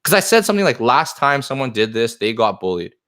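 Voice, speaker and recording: A man's voice: medium pitch, sing-song, fairly quick, a bit bright. Earnest rambling man, Chinese native speaker. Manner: earnest, rambling, repeats himself. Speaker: card shop owner. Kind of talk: live stream talk answering comments.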